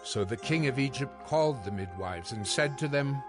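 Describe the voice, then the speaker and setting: A man's voice: mid-range voice, animated. Solemn male scripture narrator over music. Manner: measured, solemn.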